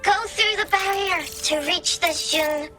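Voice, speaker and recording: Eager, very high-pitched girl guide. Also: unhurried, music in the background. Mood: explaining. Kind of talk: video game character dialogue.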